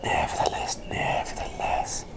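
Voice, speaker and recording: A man playing an eccentric, excitable plague doctor in a whispered ASMR roleplay, breathy and gently doting, rambling and repeating himself with old-fashioned politeness. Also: measured pace, close to an everyday voice. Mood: intrigued, wistful.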